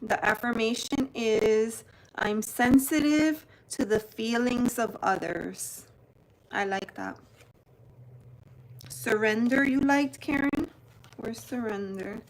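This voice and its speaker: Slow-talking woman chatting to live viewers. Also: on the high side, slight background noise, low-quality recording. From New Jersey, United States. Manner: chatty, greets viewers by name while showing cards; slow, unhurried delivery. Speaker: tarot channel host. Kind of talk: live-stream unboxing chat.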